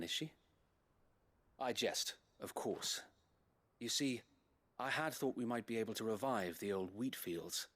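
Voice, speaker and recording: An actor playing a dutiful, courteous but burdened guard captain in a fantasy video game, formal and articulate with an English accent. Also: male voice, lowish voice, a bit bright. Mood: courteous, wry. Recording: music behind the voice.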